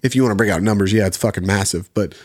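A man's voice: fairly low pitch, racing, no echo, clean studio sound. A man in his thirties from Virginia, a podcast guest talking casually, laid-back, laughing often and swearing freely. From Virginia, USA. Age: 30s. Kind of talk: casual chat.

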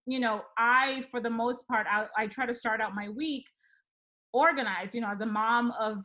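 Woman host, slightly high voice, candid, filler-heavy casual talk. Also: normal speed, sharp tone. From Dominican Republic. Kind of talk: casual conversational chat.

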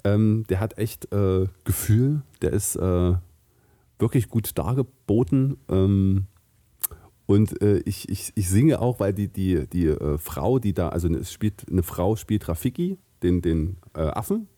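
A man chatting on a casual podcast, stumbling through his sentences with plenty of fillers. His voice is very dark and mellow and sits fairly low. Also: animated, a little slow. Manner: hesitant, many fillers. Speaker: podcast co-host. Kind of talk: casual banter.

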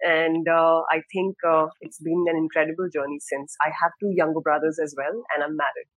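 A woman's voice: low-pitched, flat delivery, fairly quick, a bit bright, no room sound, poor audio. Woman guest with a dry, close sound. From Dubai. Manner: thoughtful, many fillers and restarts. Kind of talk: casual interview talk.

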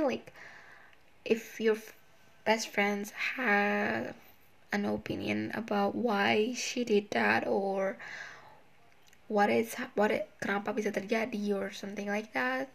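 A woman's voice: medium pitch, creaky. A young woman with an Indonesian accent, hosting a casual solo advice podcast in a chatty, self-conscious way. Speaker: podcast host.

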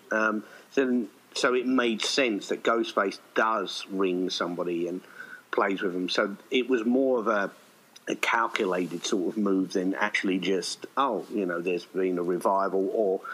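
Low-pitched man explaining his creative work. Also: fairly bright tone, rough recording. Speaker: theatre writer-director being interviewed. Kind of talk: conversational interview answers.

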